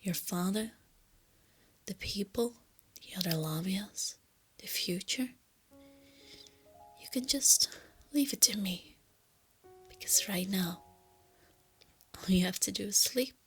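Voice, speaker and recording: A woman voicing a female lamia captor in an ASMR roleplay, soft and breathy, teasing her captive with smug, possessive tenderness. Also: mid-range voice, slow pace, pauses often, soft background music, full character voice. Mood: soothing, possessive. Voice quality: breathy, often dropping to a whisper. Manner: soft, taunting, condescending, intimate.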